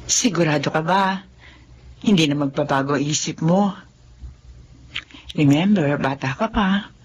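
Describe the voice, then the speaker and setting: A woman playing a caring, advice-giving woman in a radio drama, who speaks gently and reassuringly. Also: low voice, measured pace, dull audio. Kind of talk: Filipino radio drama acting.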